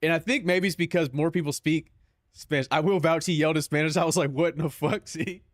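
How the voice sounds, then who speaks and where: A man's voice: a little high, quick pace, no echo, clean studio sound. A young man with a Southern Californian accent, chatting casually on a stream in loose, profane banter full of "bro".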